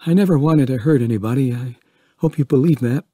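A tired middle-aged man who hesitates, then rushes his words, as a male narrator puts him on. The voice is raised and fast, with a husky, dry sound. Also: medium pitch, mellow, dry recording, pitched above the performer's own voice, close to an everyday voice. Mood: wistful. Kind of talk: audiobook character voice.

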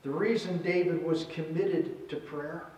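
A middle-aged man preaching a sermon as a pastor, with measured delivery in short phrases. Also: on the high side, fairly even intonation, a little mellow, dull audio. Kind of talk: sermon with scripture reading. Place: indoors at a church pulpit. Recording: roomy echo, distant microphone.

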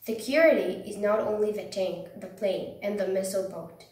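A woman's voice: mid-range voice, moderate pace, darkish tone. A teenage female student reading out a speech in an echoey room, some way from the microphone. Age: teens. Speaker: high school student, reader. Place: indoors against a plain wall. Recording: echoey room, distant mic.

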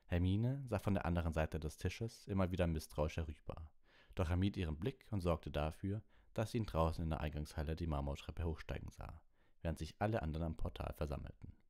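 A man with a low voice narrates an audiobook. He reads the scripted story as its narrator. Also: brisk, a little mellow.

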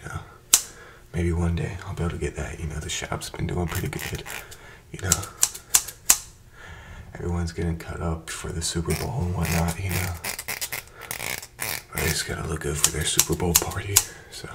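A young man in his twenties doing an ASMR barber roleplay, talking softly and slipping into whispers, with calm, repetitive, soothing chatter into a close microphone. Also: low-pitched, normal speed, long pauses, heavy background noise. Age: 20s. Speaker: ASMR creator playing a barber. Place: bright indoor room with plants. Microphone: close desk microphone in front of him.

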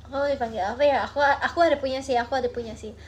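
Woman, 20s, high voice, playful livestream chatter into a phone, faint background hiss. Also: steady tone, rapid-fire. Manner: playful, musing, drifts into soft murmurs. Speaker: idol livestreamer. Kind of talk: casual late-night livestream chat. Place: small bedroom at night. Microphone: phone at arm's length.

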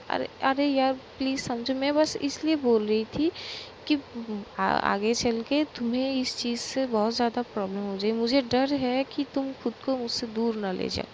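A woman's voice: fairly high pitch, slight background noise, lightly acted, rough recording. A woman voicing a panicked young woman who stammers, repeats herself and begs. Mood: pleading. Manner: stammering, begging, repeating words.

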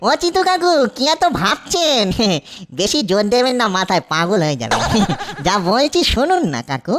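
A woman voicing an excitable, childlike boy ghost in a children's cartoon, with a sing-song, wheedling delivery. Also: on the high side, normal speed, noisy background. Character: excitable childlike young male ghost, pleading and gleeful. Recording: loud music over the voice.

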